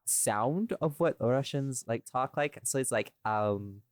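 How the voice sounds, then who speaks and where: A man's voice: mid-range voice, expressive, slow pace, no echo, studio quality. A man chatting casually in a rambling way, often interrupting himself and repeating phrases. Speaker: podcast guest, voice actor. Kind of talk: casual chat.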